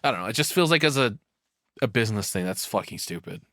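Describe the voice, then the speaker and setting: A man's voice: on the low side, expressive, fast, fairly bright tone, no echo, studio quality. A man giving casual, rambling reaction commentary in a conversational way, with some swearing. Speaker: reaction streamer.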